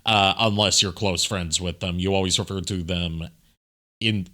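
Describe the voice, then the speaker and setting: A man's voice: low voice, fairly even intonation, moderate pace, pushed and bright. A man giving casual commentary over a video as a streamer, explaining things in a rambling way. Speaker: streamer, amateur voice actor.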